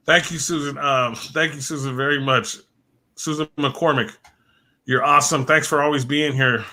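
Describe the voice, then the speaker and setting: A man's voice: on the high side, fairly even intonation, a little slow, pauses often, pushed and bright. A man hosting a late-night livestream, rambling and repeating words as he thanks viewers by name, his voice worn and fading. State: losing his voice. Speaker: livestream host. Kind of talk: casual livestream chat.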